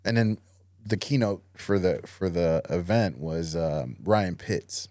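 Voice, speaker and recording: A man's voice: low voice, slow pace, dark tone, no room sound, clean studio sound. A man talking casually as a podcast guest, easygoing, with lots of "you know" and fillers. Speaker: podcast guest, Marine veteran. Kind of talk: casual interview conversation.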